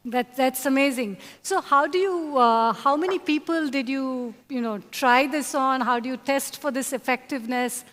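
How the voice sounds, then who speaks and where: A woman's voice: high-pitched, normal speed, hardly any pauses, fairly bright tone, long reverb tail. A woman hosting a conference panel interview, hesitant with many fillers. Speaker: host. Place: conference hall.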